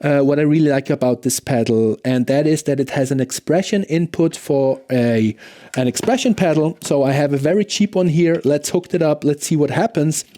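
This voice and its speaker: Guy doing a laid back gear review, slightly high voice, mellow tone, chatty and into it. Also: normal speed. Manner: conversational, enthusiastic about the gear, often checks in with "right?". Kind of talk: casual product review with demonstrations.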